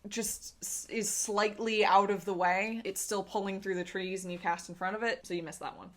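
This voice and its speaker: A woman's voice: medium pitch, fairly even intonation, normal speed. A woman running a tabletop game, narrating scenes descriptively and talking casually with players. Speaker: game master.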